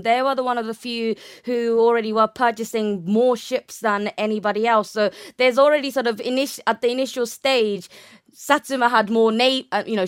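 A woman's voice: on the high side, smooth, no echo, studio quality. A young woman giving a conversational academic explanation as an interview guest, often hedging and correcting herself as she goes. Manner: hesitant phrasing, frequent hedging and self-correction. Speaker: interview guest, naval history researcher.

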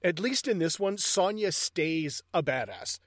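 Man, movie podcast co-host, talkative, explains scenes, somewhat high voice, dry sound. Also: lively intonation, unhurried, no echo. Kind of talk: casual profane chat.

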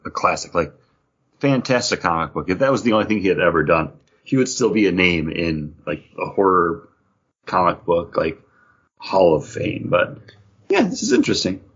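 A man with a fairly low, rough-edged voice talks casually as a podcast co-host. His delivery is conversational, like chat among fellow comic fans, and the recording is somewhat dull and lo-fi. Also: big pitch swings, moderate pace.

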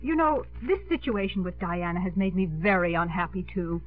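A woman's voice: on the low side, normal speed, close to an everyday voice. A woman acting a poised but coy and easily flustered middle-aged lady in a 1950s radio romantic comedy. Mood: coaxing. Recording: narrow-band 1950s radio, music behind.

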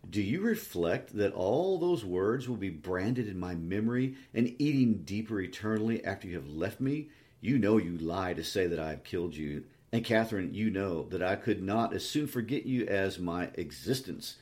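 A man's voice: sits low, animated, a little slow, a little mellow. A man voicing a tormented, savage and despairing lover in a dramatic novel reading, emphatic and accusing.

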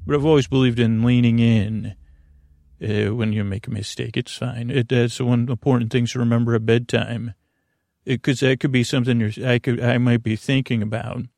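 A man hosting a bedtime podcast in a creaky, lulling voice, meandering gently through halting, self-interrupting tangents. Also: medium pitch, fairly even intonation, no room sound, clean studio sound. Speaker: podcast host. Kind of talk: rambling, soothing bedtime monologue.

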